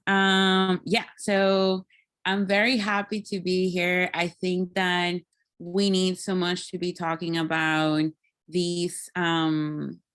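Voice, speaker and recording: A woman giving an informal online presentation as a guest speaker, thoughtful and halting, with many small filler phrases. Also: fairly low pitch, monotone, fairly bright tone, smooth. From Puerto Rico. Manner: halting, thoughtful, peppered with "like" and "you know". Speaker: guest speaker, climate scientist. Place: video call.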